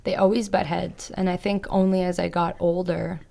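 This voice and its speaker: A young woman talks in a low, gravelly voice full of fry, her pitch staying flat across long stretches. She speaks reflectively, with plenty of "like" and "you know". Her is Serbo-Croatian. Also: normal speed. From Canada. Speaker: podcast guest. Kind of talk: casual interview chat.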